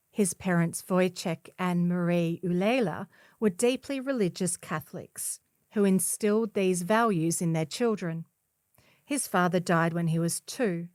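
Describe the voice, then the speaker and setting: A woman narrates a written feature story for a community paper. Her voice sits a little low for a woman, and it is captured very dry, with hardly any room sound. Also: dry recording. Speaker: volunteer reader of a community newspaper. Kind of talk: scripted narration of a feature article.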